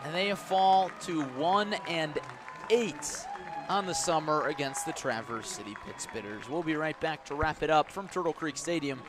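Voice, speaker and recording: Male baseball play-by-play announcer, slightly high-pitched, live radio commentary delivery. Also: moderate pace, a crowd in the background, noisy background.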